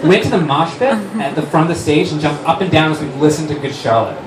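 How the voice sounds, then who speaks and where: A man's voice: a little high, lively intonation, fast. A man giving a theatrical, overdramatic comic reading of a story on stage. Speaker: writer-director and actor, performing reader. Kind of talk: comic dramatic reading of fan fiction. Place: on stage at a live fundraiser. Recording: echoey distant stage recording, noisy.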